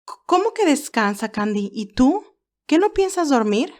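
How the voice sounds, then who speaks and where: A woman voicing a sweet, feisty young nurse in an expressive, girlish way for an audio romance story. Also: sits high, moderate pace, pitched above the performer's own voice. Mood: teasing, incredulous. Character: Candy, sweet, feisty young nurse, easily moved and teasing.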